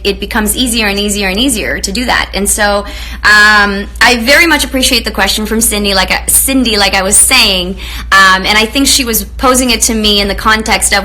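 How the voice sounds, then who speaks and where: A woman's voice: fairly high pitch, poor audio. A woman from Romania hosting a live online show, chatting with viewers in an effusive way with lots of fillers. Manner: effusive, greets viewers warmly, many fillers. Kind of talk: live-stream chat with viewers.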